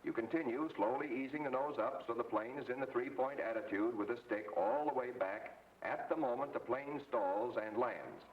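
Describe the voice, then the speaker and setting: An American man delivers scripted 1940s training film narration as an instructor, in a measured, didactic, clearly articulated way. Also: medium pitch, distant mic. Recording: muffled, noisy, roomy vintage film soundtrack.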